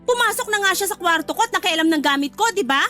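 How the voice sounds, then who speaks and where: Casting: bitter older sister in a radio drama, very high bright voice, scornful and combative. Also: female voice, lively intonation, fast talker, soft background music, full character voice. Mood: accusing, exasperated. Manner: confrontational, scornful. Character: bitter older sister feuding with her brother's live-in girlfriend.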